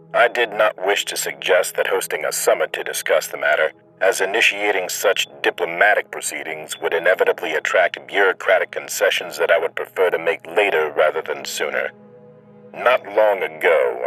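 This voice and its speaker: Deep-voiced, grave military admiral. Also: male voice, a bit bright, rough recording. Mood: formal, apologetic, then solemn, candid. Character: grave, weary senior military admiral, conciliatory statesman. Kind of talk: formal dictated message, audio drama acting.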